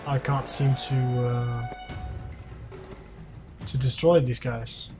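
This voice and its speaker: A man hosts a live game stream with casual, conversational commentary. His voice sits at a middle pitch, and music plays faintly underneath as he talks through his play. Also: level tone, slow pace. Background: background music.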